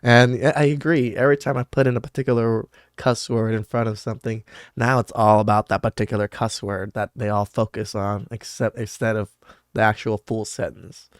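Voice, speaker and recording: A man's voice: lowish voice, moderate pace, dry recording, studio quality. A man hosting a podcast, talking bluntly and casually as he reacts to clips, cussing freely and restarting his sentences. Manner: blunt and profane, with many restarts and fillers.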